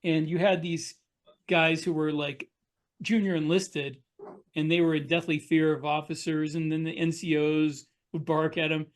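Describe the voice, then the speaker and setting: A man's voice: a little high, little pitch movement, moderate pace. A man telling anecdotes casually as a podcast co-host and author.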